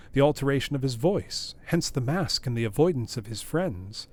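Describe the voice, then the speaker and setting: A man voicing a composed, formal older male lawyer who reasons calmly, close to his own voice. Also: medium pitch, natural voice.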